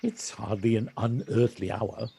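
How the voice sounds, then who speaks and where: An actor playing a cheery, unhurried villager in an audio play, with a gravelly voice and a genial, lyrical manner. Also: male voice, on the low side, expressive, mellow, full character voice. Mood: gently chiding. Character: cheery, unhurried Welsh village local who loves nature. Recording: noisy home recording on personal device.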